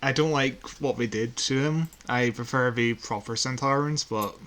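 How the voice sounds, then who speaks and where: A man's voice: mid-range voice, normal speed, a bit bright. A man co-hosting a podcast, chatting casually and informally. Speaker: podcast co-host.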